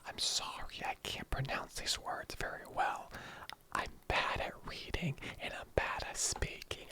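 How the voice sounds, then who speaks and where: Breathy whispering ASMR reader. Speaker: online video creator reading aloud. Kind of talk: whispered ASMR reading of creature encyclopedia entries.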